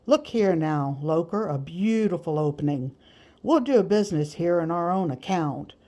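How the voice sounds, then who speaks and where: A woman's voice: lowish voice, lively intonation, normal speed, mellow, natural voice. A woman voicing a sly, boastful male slave catcher with rustic grammar in an audiobook. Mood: eager scheming. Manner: rustic nonstandard grammar, gleefully bragging. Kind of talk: audiobook character voice.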